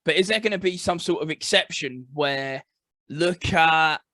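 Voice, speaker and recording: Guy on a gaming podcast, bright voice, dry close sound, agrees a lot and laughs. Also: on the high side, dry recording. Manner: agreeable, chatty, quick to laugh. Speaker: podcast co-host. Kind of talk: casual podcast chat.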